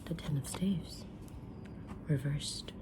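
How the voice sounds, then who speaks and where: A woman playing a kind, mysterious witch fortune teller in an ASMR roleplay, whispering gently and deliberately with pauses. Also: sits low. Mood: calm, mysterious. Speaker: ASMR roleplayer reading tarot cards.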